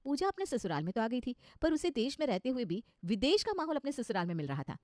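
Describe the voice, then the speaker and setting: A woman's voice: on the high side, big pitch swings, fast, a little mellow, no room sound, studio quality. A woman narrating a scripted cartoon story as its storyteller.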